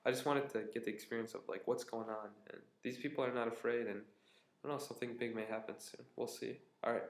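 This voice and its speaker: A young American man telling stories to his vlog camera in a tired, rambling, relaxed way, indoors at a friend's apartment. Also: mid-range voice, quick pace. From United States. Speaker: vlogger. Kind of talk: casual storytelling vlog. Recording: echoey room.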